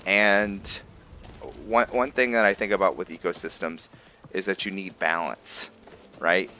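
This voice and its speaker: A man giving casual gameplay commentary and roleplay storytelling, haltingly thinking aloud as he goes. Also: deep voice, fairly even intonation, pushed and bright, a little hiss or hum. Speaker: gaming video host and roleplayer.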